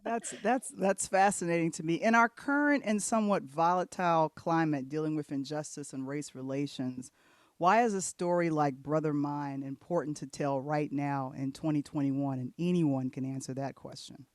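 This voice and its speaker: A man's voice: a little high, normal speed, dry recording, clean studio sound. A man hosting a casual panel interview, asking questions and sometimes reading from notes. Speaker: interview host.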